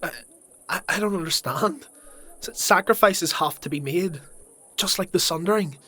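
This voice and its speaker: An actor playing a distraught, guilt-ridden young male zealot in a dark fantasy audio drama, stammering and impassioned. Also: high voice, normal speed. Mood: pleading, confiding.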